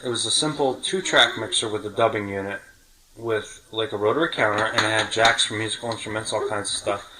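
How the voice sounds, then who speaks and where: A man's voice: on the low side, fairly even intonation, brisk, very noisy. A middle-aged man hosting a podcast, reminiscing casually in detail and correcting himself as he goes. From New York City. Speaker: podcast host.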